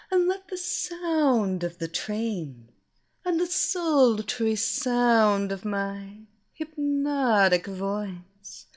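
A woman voicing a seductive adult female hypnotist with an American accent, speaking in a slow, hushed, breathy way. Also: fairly high pitch, full character voice.

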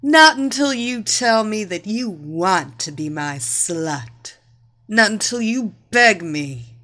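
A woman's voice: mid-range voice, animated, slow, bright, forceful tone. A woman voicing a cruel, domineering female fighter in a story, mocking, commanding and gloating. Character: domineering blonde female kickboxer, cruel and taunting. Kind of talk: dramatised story reading.

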